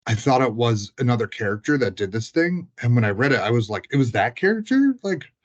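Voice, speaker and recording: A man's voice: medium pitch, big pitch swings, a little fast, muffled recording. A man co-hosting a podcast, talking casually in a rambling, self-correcting way. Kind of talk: casual chat.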